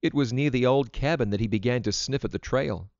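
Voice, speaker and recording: A man voicing an earnest, excitable young girl from the country in a storybook reading. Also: mid-range voice, moderate pace, dry recording, dull audio, voice pushed up. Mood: frightened.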